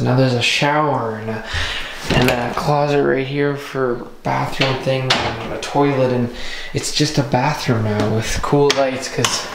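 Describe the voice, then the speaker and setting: A teenage boy giving a casual, rambling, excited house tour, filmed on a handheld camera at arm's length in a car and then indoors. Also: mid-range voice, roomy sound, background noise. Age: teens. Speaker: vlogger. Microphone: handheld wide-angle camera at arm's length.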